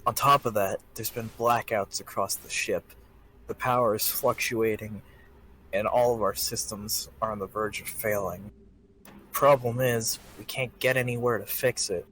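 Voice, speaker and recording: A man's voice: on the low side, steady tone, moderate pace, sharp tone, low-quality recording. A man playing a weary, guarded starship chief engineer surviving a disaster in a sci-fi game roleplay, plain-spoken and grumbling. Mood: hushed, worried. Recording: noticeable background noise and ambience.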